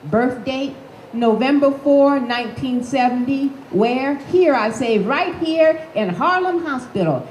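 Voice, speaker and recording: A woman's voice: high voice, expressive, unhurried, darkish tone, pitched above the performer's own voice. A woman reading aloud in character as a blunt, defiant sixteen-year-old girl from Harlem, with a streetwise, profane way of talking. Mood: urgent. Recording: echoey room with faint noise.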